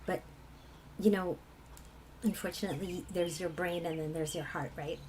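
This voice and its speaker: A woman from England with a low, husky voice, a singer telling personal stories in a reflective conversation. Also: steady tone, moderate pace, darkish tone, slight background noise. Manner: reflective, laughs often while telling stories. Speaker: kirtan singer.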